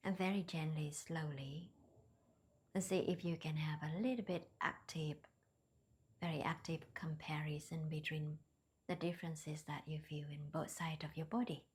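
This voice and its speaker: A woman leading a guided meditation in a soft, breathy near-whisper, with gentle, hesitant non-native phrasing. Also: low-pitched, steady tone, moderate pace. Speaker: meditation teacher.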